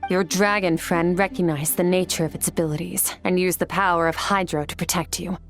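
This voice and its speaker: A woman's voice: on the low side, steady tone, a little fast, faint music behind, close to an everyday voice. A woman playing a stoic, battle-hardened, aloof female warrior in a fantasy game, terse and commanding. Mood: cool, explanatory. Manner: terse, matter-of-fact, commanding. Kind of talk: scripted fantasy game voice acting.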